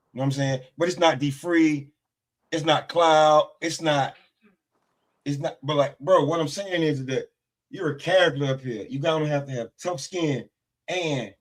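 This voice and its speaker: A man in his forties co-hosting a boxing stream, rambling and joking in casual banter. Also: fairly high pitch. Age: 40s. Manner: joking, rambling, calls others bro. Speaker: stream co-host.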